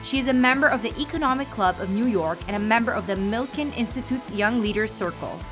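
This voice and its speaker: A woman's voice: on the high side, quick pace. A woman hosting a podcast, reading a guest introduction and then asking questions in a polite, affirming way. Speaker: podcast host. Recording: faint music behind.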